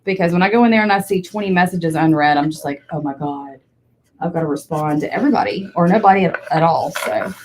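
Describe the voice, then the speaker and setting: A woman's voice: lowish voice, fast, a little mellow, slight background noise. A woman in her forties chatting casually, blunt and candid with the odd swear. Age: 40s. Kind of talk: casual chat.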